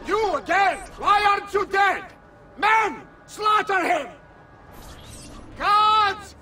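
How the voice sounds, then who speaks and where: An actor playing a vicious, panicking nobleman villain in a video game, shouting frantically with an Italian accent. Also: very high voice, takes it slowly, over loud music. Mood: furious. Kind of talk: dramatic video game acting. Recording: reverberant, noisy game scene.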